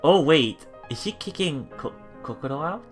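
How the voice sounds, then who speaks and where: A man hosting a let's play, reading game text aloud with casual, halting reactions and repeated words. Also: on the high side, lively intonation. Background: game music.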